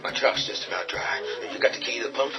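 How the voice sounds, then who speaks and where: A man's voice: medium pitch, fast, highs cut off, over loud music, lightly acted. An actor playing a tough man barricading a house against the undead, talking urgently and giving orders. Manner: urgent, commanding, swings to shouting. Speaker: lead character. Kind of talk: radio drama acting.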